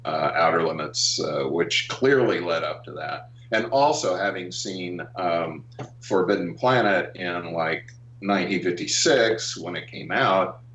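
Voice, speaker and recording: An older American man reminiscing in a casual interview, speaking haltingly with frequent pauses and ums. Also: low-pitched, fairly bright tone, a little hiss or hum. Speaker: interview guest, animation artist and art director. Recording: roomy, dull, distant call-like audio.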